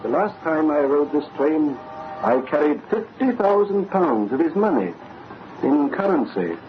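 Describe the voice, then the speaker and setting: An actor playing a courtly, enigmatic older father, speaking in a measured, formal way in an old-time radio drama. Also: fairly high pitch, big pitch swings. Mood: calm, confiding. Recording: muffled, noisy old radio recording.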